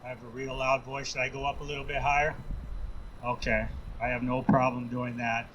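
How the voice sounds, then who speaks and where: An American man giving an impassioned speech at an outdoor rally, speaking in a halting, earnest way and leaning on repeated phrases. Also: mid-range voice, level tone, a bit bright, dull audio, slight background noise. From Yankton Sioux Nation, Sioux City, Iowa, United States. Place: outdoors at a downtown courthouse rally.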